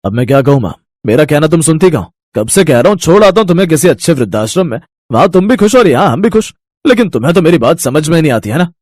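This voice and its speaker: A man's voice: on the high side, very animated, fast talker, a little mellow, no echo, clean studio sound. A man voicing a young grown son who talks bluntly and dismissively. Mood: impatient. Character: young grown son, impatient and scornful of his mother.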